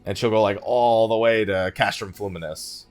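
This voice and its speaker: A man's voice: fairly low pitch, steady tone, moderate pace, soft background music. A man giving casual let's play commentary, thinking aloud in a wry, conversational way. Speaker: gaming YouTuber, host of the let's play.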